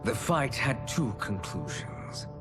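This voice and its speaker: A sly sorcerer from a fantasy fighting game, speaks slowly and smugly. The tone is silky and taunting, at a medium pitch, with clear music underneath. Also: male voice, very animated. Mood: smug, explanatory. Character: sly, smug male sorcerer schemer. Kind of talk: dramatic video game cutscene acting.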